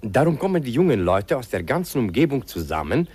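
An actor playing a kindly old country doctor in a radio play, patiently explaining things. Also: male voice, mid-range voice, very animated, brisk, darkish tone, husky. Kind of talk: 1950s radio play acting.

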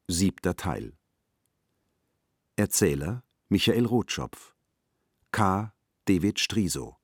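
A man announcing credits in a scripted, formal and deliberate radio style. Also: low voice, husky, dry recording, studio quality.

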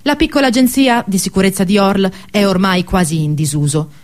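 A woman narrating a scripted literary travel tale for radio theatre, with measured, deliberate phrasing. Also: medium pitch.

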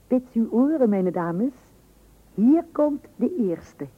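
A woman's voice: fairly high pitch, animated, slow pace, slightly rough, full character voice. An actress playing a stubbornly thrifty, teasing housewife and mother in a radio comedy, breezy and insistent. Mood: sly lecturing. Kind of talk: Dutch radio comedy play acting. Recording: dull, lo-fi old radio recording.

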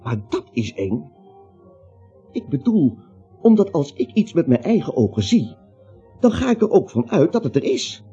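Radio play role: bumbling, anxious everyman, husky and higher-pitched, stammering and self-justifying, muffled old recording. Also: big pitch swings, moderate pace, pauses often, dark tone, soft background music, heavily acted. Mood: baffled, uneasy. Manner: hesitant, rambling, stammering. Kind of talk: comic radio play acting.

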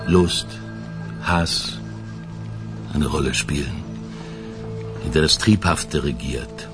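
A man playing a self-assured police lieutenant colonel in a German radio crime drama, speaking in a measured, deliberate way with pauses for effect. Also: extremely low pitch. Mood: brooding, insinuating. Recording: music playing behind the voice.

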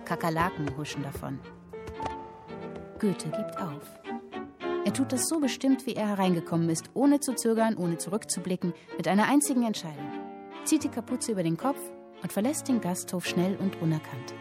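A woman acting as storyteller, narrating a historical tale in a radio play with deliberate, vivid phrasing. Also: lowish voice, big pitch swings. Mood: suspenseful. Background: music.

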